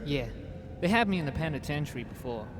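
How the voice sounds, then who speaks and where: A man's voice: a little high, expressive, quick pace, noisy background, lightly acted. A man playing a nervous, curious young rookie guard in a radio drama, hesitant and wide-eyed. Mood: curious, uneasy.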